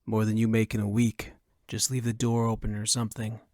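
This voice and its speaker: Man playing a blunt, dry, low-key adventurer. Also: monotone, a little fast, darkish tone, no room sound, natural voice. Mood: low, persuasive. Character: blunt, pragmatic hired adventurer with a musket. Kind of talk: audiobook character acting.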